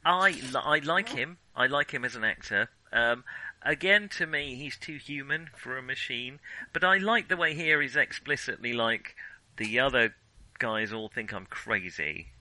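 A man's voice: medium pitch, lively intonation, normal speed, bright, forceful tone, dry recording, poor audio. A man co-hosting a podcast, casually discussing films in an opinionated way, often stopping to rephrase his thought. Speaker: podcast co-host.

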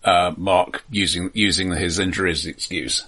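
A man casually recapping a film as a podcast co-host, halting and wry. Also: deep voice, fairly even intonation, sharp tone, breathy edge.

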